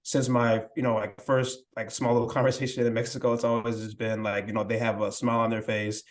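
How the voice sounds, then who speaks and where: A young man with a mid-range voice speaks in a relaxed, unhurried way. He hedges and restarts often, sounding modest and a little self-critical, as a guest on a casual podcast. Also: mid-range voice. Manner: hesitant, many fillers and restarts. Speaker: podcast guest, language learning creator. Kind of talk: casual interview conversation.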